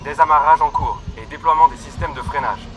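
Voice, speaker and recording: Higher male pitch, young man acting a flight controller, clipped, technical, sci-fi audio drama, faint vehicle noise. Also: a little fast, fairly bright tone, natural voice, rough recording. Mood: focused, urgent. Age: young adult.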